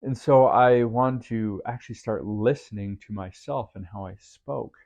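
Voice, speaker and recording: A man hosting a podcast, talking through his thoughts in an earnest, faith-based, reflective way with a deep voice. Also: measured pace, darkish tone. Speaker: podcast host. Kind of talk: reflective solo podcast monologue.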